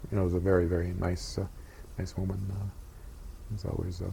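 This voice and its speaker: An older man from Japan, recalling his childhood in an oral history interview, talking haltingly with many pauses and fillers. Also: low-pitched, completely flat delivery, vocal fry, dark tone, a little hiss or hum, poor audio.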